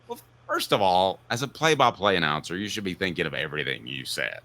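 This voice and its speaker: A man delivers opinionated sports commentary as a talk show host. His voice is bright and forceful, with very lively intonation. He makes his points in punchy, emphatic declarations, stretching stressed words for weight. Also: low voice, a little fast.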